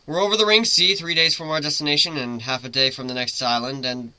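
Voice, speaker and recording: A man voicing a brash, commanding griffin ship's captain in a story reading, loud, theatrical and taunting. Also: a little high, fairly even intonation, quick pace, clear, resonant tone, dry recording, rough recording. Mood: irritated, bossy.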